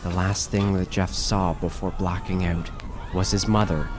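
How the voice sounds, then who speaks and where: A man narrating a scripted horror story in a measured, dramatic storytelling manner. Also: low-pitched, little pitch movement. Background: music.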